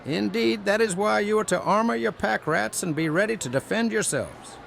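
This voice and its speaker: A man voicing a plump old gnome elder with a creaky voice and quaint, deliberate, formal phrasing, in a fantasy audio story. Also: high voice, fairly bright tone, a little hiss or hum, exaggerated voice. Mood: brisk, commanding.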